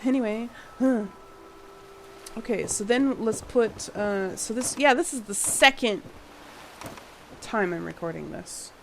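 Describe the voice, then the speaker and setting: A woman hosting a game-building channel, chatting casually while she plays. Her voice is a little husky from a cold she is getting over, and she sounds tired and late-night. She apologizes often and laughs a lot. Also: fairly high pitch, unhurried, pauses often, fairly bright tone, a little hiss or hum, low-quality recording. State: slightly husky from a fading cold. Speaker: gaming channel host. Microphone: home voice mic.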